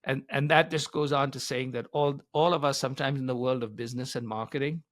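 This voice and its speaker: An older man speaks as an interviewed advisor, in a medium-pitched voice. He explains things in a conversational but emphatic way, setting out his points in order. The recording is dry, with very little room sound. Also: fairly even intonation, a little fast, a bit bright, no echo. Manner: emphatic, explains in numbered points with acted-out quotes. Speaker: business author and advisor being interviewed.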